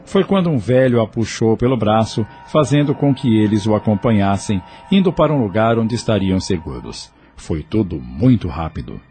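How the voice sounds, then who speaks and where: A man with a Brazilian Portuguese accent narrating an audio drama in a measured, expressive storyteller's manner. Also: lowish voice, music in the background.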